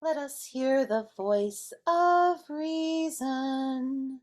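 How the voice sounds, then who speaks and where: Casting: woman as sweet, earnest puppet-family character, high register, very slow delivery, amateur dramatic reading. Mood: tender, singing.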